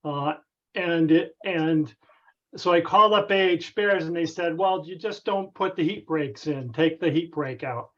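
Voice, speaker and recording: A man on a conference call casually explaining a technical problem in a halting, tentative way. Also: on the high side, fairly bright tone. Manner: halting, tentative, circles back. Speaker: club member asking a technical question. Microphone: conference call connection. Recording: dull, band-limited conference call audio.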